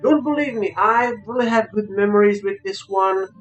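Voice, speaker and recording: A man with a non-native English accent giving an informal, unscripted game review, halting but emphatic about his opinions. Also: high voice, fairly bright tone, dull audio, faint music behind. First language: not English.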